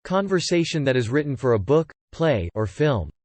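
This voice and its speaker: A man's voice: mid-range voice, sing-song, moderate pace, darkish tone, no room sound. A man teaching English, carefully reading definitions and example sentences aloud. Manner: clear, careful model reading.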